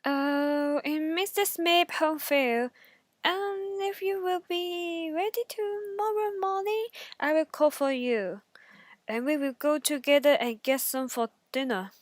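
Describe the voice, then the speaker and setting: A woman voicing a sly, menacing male wolf in a bedtime story, with a coaxing, drawn-out, forceful delivery. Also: high voice, no room sound, pitched above the performer's own voice.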